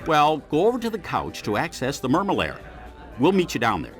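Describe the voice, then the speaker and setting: Grumpy, swooping old superhero sidekick. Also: male voice, on the high side, wildly swooping pitch, a little fast. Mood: weary, reluctant. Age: older.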